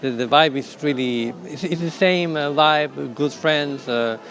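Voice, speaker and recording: A man from Chile, a festival participant, speaking in an interview in halting phrases, repeating words. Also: on the high side, fairly bright tone, soft background music.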